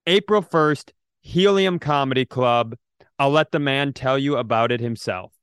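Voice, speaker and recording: A man's voice: medium pitch, unhurried, clean tone, no echo, clean studio sound. A man co-hosting a podcast in casual comedic chat, with a rambling, wry way of talking. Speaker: podcast co-host.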